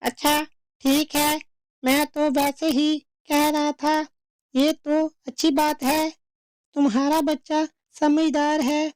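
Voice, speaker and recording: A woman voicing a sly, smooth-talking male crow with a nosy, falsely friendly manner in a cartoon story. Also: high voice, level tone, slow, a little mellow, no room sound, clean studio sound. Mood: placating. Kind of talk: slow cartoon story dialogue.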